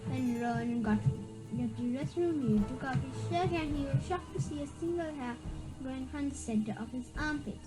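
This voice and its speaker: A high-pitched voice reads a spooky children's story aloud. The reader often stumbles, restarts and repeats words. Music plays clearly behind the reading. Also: fairly quick, rough recording. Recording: background music.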